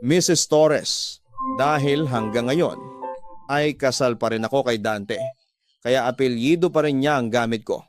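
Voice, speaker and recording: A man's voice: mid-range voice, measured pace, faint music behind, close to an everyday voice. A man voicing a haughty, jealous estranged wife in a radio drama, curt, commanding and confrontational. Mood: annoyed. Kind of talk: Filipino radio drama acting.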